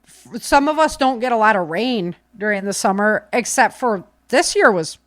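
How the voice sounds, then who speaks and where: A woman's voice: fairly high pitch, expressive, normal speed, a bit bright, dry recording. Casual, folksy woman podcast host, dry sound. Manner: folksy, informal, rambling. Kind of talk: casual podcast chat, giving practical tips.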